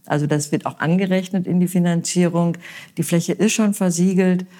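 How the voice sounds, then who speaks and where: A woman from Westphalia gives her answers as a local election candidate. She speaks in an earnest, considered way, often stopping to fill pauses and restart her sentences. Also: fairly low pitch, steady tone, dark tone. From Westphalia, Germany. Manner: earnest, hesitant with many fillers. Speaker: mayoral candidate. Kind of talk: political interview answers.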